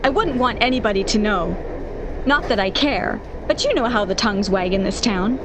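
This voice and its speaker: A woman's voice: mid-range voice, lively intonation, normal speed, background noise, natural voice. An actress playing a lovestruck, stubbornly hopeful young woman in a 1940s radio drama, with an insistent, dramatic manner. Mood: excited, secretive.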